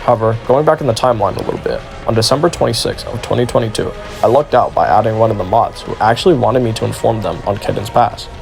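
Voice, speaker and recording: A man reads a scripted exposé as a video narrator. He moves quickly through his sentences with a creaky, fried edge to his voice, and soft music plays underneath. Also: on the low side.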